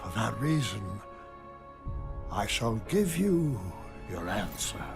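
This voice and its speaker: Slow, gravelly game villain. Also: male voice, fairly low pitch, sing-song, over music, heavily acted. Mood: solemn menace. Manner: slow, theatrical, deliberate. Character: ominous, taunting male villain, calmly menacing and grandiose. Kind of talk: scripted video game cutscene acting.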